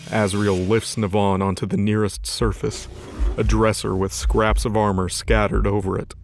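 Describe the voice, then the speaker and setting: A man's voice: lowish voice, little pitch movement, moderate pace, creaky, slight background noise. A man reading scripted, dramatic present-tense narration for an audio drama.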